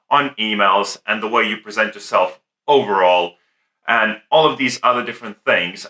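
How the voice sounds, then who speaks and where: Bright-voiced podcast host asking questions. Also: male voice, medium pitch. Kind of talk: podcast interview.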